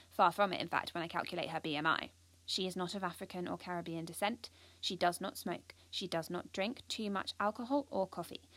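An earnest schoolgirl narrates her own life in an audio drama. She is driven and anxious, with a precise, intense way of putting things. The voice sits at a middling pitch in a very dry recording. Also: a bit bright, no room sound. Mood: brisk, matter-of-fact. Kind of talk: first-person audio drama monologue.

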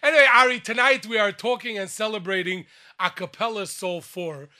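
Bright, high-voiced excitable radio host. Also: male voice, a little fast. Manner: excitable, with many fillers and restarts. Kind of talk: lively radio chat. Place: radio studio.

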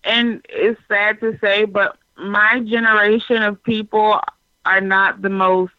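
A woman's voice: medium pitch, level tone, takes it slowly, no echo. Twenties woman guest, earnest and talkative, lo-fi dry audio. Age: 20s. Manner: earnest, explains at length. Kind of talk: casual chat. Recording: dull dry call audio.

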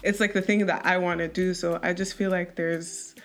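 A woman's voice: fairly low pitch, flat delivery. Chatty young woman, music artist. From Nigerian background, raised abroad. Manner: chatty, many fillers, quick to laugh. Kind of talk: casual interview talk.

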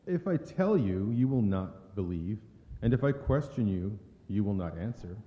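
A man reading a calm prophet with solemn, measured delivery in a church scripture drama. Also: lowish voice. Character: calm male prophet answering his accusers. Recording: noisy, echoey church hall.

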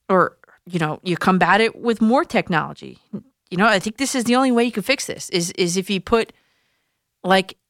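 Woman host on sports radio, close dry studio mic, lowish voice, chatty and explaining stuff. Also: fairly bright tone, dry recording. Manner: conversational, explanatory, some restarts. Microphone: studio microphone.

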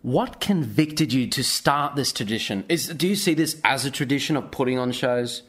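Male Australian podcast host, medium pitch, chatty interview delivery. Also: moderate pace, slightly rough. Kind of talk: conversational interviewing.

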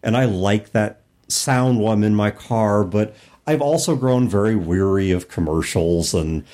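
A man's voice: fairly low pitch, a little slow, a little mellow. A man co-hosting a podcast, chatting casually and informally about tabletop games. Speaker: podcast co-host.

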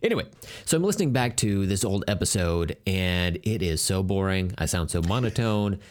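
A man's voice: deep voice, lively intonation, normal speed. A man co-hosting a podcast in casual chat, rambling and self-deprecating with jokes. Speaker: podcast co-host.

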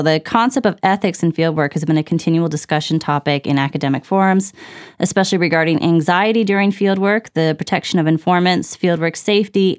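A woman's voice: fairly low pitch, smooth. A woman moderating an academic panel, introducing the topic formally before handing over to guests.

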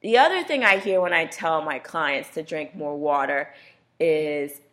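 A woman's voice: deep voice, measured pace, fairly bright tone. A woman hosting a health radio talk show, talking casually and chatting with a laugh in her voice.

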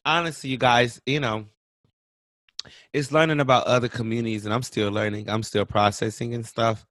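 A man's voice: mid-range voice, steady tone, normal speed, a bit bright, dry recording. Male podcast co-host, mid-range voice, dry close mic, casual and playful.

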